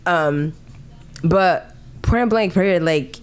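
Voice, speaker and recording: A woman from Ghana hosting a casual podcast chat, telling stories with lively quoted voices and frequent laughter. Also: fairly low pitch, slow, clean tone. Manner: animated storytelling, acts out quoted voices, laughs often. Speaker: podcast host.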